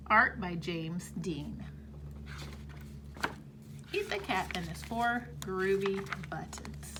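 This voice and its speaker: A woman teacher reads a children's picture book aloud in a high, lively voice that swoops up and down. She takes her time, with bright, exclamatory storytime energy over a faint hiss in the background. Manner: animated, exclamatory storytime delivery.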